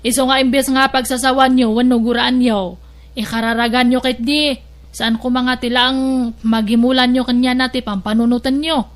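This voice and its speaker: A woman's voice: sits high, flat delivery, moderate pace, lightly acted. A woman voicing a firm older sister-in-law in an audio drama, who lectures and asks pointed questions. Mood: scolding.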